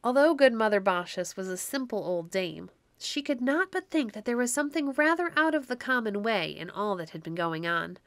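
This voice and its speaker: A woman's voice: medium pitch, expressive, moderate pace, a bit bright, no room sound. A woman narrator reading a classic tale aloud in a scripted storybook style.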